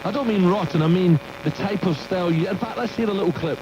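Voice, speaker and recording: A man's voice: high voice, quick pace, muffled recording. A man hosting a radio show in a casual, rambling style, full of jokey teasing. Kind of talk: casual breakfast radio banter. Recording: noisy radio broadcast capture.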